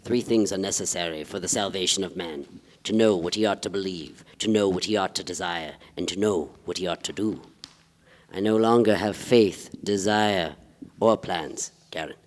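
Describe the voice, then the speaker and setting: A man's voice: low voice, normal speed, close to an everyday voice. A man playing a melancholy, pompous poet and part-time detective in a staged play reading, with florid, declamatory delivery. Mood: self-pitying. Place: live on a theatre stage. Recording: reverberant theatre hall.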